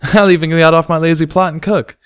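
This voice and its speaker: A man's voice: fairly high pitch, steady tone, fairly quick, clear, resonant tone, dry recording, studio quality, pitched above the performer's own voice, lightly acted. A man voicing an easygoing, playful grown female pony with a casual manner for a story.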